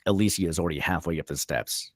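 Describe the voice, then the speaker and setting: A man's voice: low voice, fast talker, dry recording, clean studio sound. A man reading stage directions as narrator at a screenplay table read.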